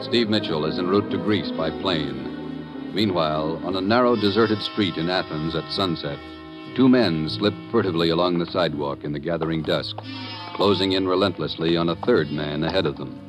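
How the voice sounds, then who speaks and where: A man with an American accent narrates in the dramatic, formal manner of a 1950s radio announcer. His low voice is backed by loud music. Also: normal speed.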